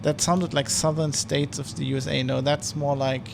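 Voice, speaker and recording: A man's voice: a little high, level tone, normal speed, vocal fry, background noise. A man giving rambling, casual commentary while streaming a flight sim. He restarts and corrects himself often. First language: German.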